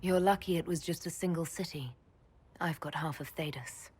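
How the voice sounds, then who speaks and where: An actress playing a composed, dutiful elf mage leader in a video game, speaking in a measured, dry, questioning way. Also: female voice, deep voice, a little mellow, slightly rough. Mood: weary, serious. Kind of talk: video game dialogue acting.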